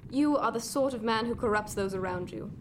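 A woman playing a middle-aged, cold and imperious 18th-century gentlewoman in a radio drama, speaking formally and bitingly. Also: on the high side, a little fast, lightly acted. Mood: bitter, commanding. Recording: clear background noise, ship ambience.

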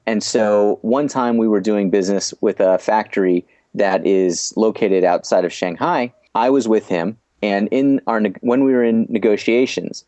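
A man speaking as an interview guest, explaining business lessons in a measured way, often restarting his phrases. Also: on the low side, warm tone, no room sound. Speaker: guest sharing business experience. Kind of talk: podcast interview storytelling.

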